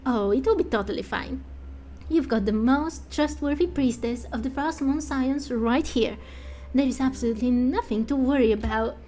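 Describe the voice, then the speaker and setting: A woman's voice: high voice, normal speed, mellow, a little hiss or hum, close to an everyday voice. A woman voicing an overconfident young priestess in a fantasy game, emphatic and boastful but easily rattled. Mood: breezy, boastful.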